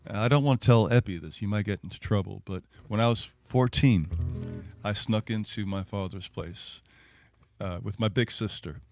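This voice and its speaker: A middle-aged or older man, a musician, chatting casually to an online audience in a halting, rambling, reminiscing way. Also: on the low side, darkish tone, soft background music. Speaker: singer and musician hosting a livestreamed set. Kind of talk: casual between-song chat to an online audience.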